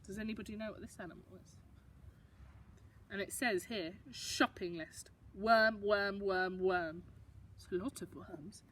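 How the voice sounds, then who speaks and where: A woman's voice: mid-range voice, unhurried, a bit bright, far from the mic, slight background noise. A woman reading a picture book to young children, expressively, with explanatory asides and questions for the listeners. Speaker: storybook reader for young children.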